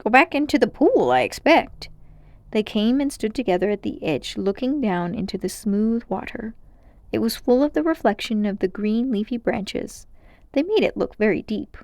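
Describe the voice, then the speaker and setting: A woman reading a children's story aloud as narrator, in an expressive storytelling style. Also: medium pitch, normal speed, no echo.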